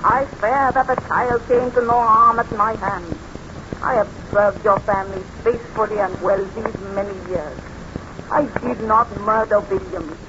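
A woman's voice: medium pitch, steady tone, measured pace, close to an everyday voice. A woman playing a desperate accused servant in a 1930s radio serial, pleading and emphatic in a melodramatic style. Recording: dull, noisy vintage radio recording.

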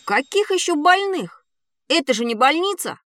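Пытливого ребёнка, высокий голос. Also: female voice, sits high, very animated, moderate pace, a bit bright, no room sound, studio quality. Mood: puzzled. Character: inquisitive, puzzled, outspoken child questioning an adult. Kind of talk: Russian children's audio drama.

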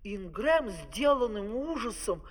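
A man's voice: high-pitched, big pitch swings, takes it slowly. A man narrating the stage action of a children's opera as scripted storytelling. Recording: reverberant vintage vinyl record.

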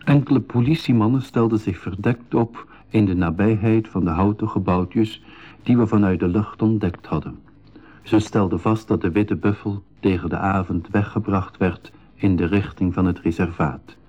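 An actor playing a composed storyteller, narrating an adventure in measured fashion for a 1980s school radio drama. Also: male voice, fairly low pitch, dark tone, lightly acted. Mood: composed storytelling. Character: man recounting his own past adventure, composed storyteller. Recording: dull 1980s radio recording.

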